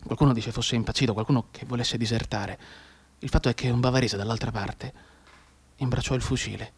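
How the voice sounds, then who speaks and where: An actor playing a soldier telling a dead comrade's mother about war, in a theatrical, repetitive radio monologue that builds from hushed asides to outbursts. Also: mid-range voice, fairly even intonation, a little fast, slightly rough. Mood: sorrowful, haunted.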